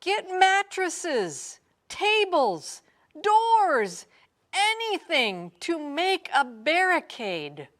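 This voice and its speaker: A woman's voice: very high voice, wildly swooping pitch, extremely slow, fairly bright tone, voice pushed up, exaggerated voice. A woman voicing panicked storybook townspeople and their mayor, crying out urgently and dramatically. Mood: urgent alarm.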